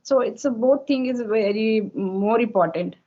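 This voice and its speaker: A woman in her thirties from India, a native Hindi speaker with an Indian English accent, answering questions in a halting practice conversation full of fillers over an online call. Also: a little high, mellow. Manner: halting, many fillers and restarts. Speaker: English learner, homemaker. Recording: dull lo-fi call audio.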